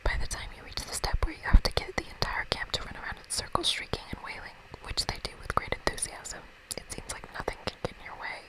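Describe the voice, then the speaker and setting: A woman's voice: fast, hardly any pauses, low-quality recording. Whispering woman, ASMR game narration. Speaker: ASMR host reading a video game aloud.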